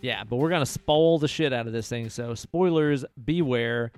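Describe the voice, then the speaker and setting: A man with a quiet voice co-hosting a podcast, talking casually about a movie and swearing freely. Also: medium pitch, clean tone, soft background music. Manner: relaxed, swears casually, drawn-out emphasis. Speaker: podcast co-host.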